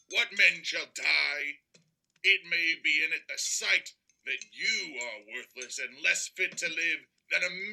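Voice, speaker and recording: An actor playing a stern, scolding Christmas spirit in an audio play, grave and mocking in manner. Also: male voice, a little high, pushed and bright, full character voice, low-quality recording.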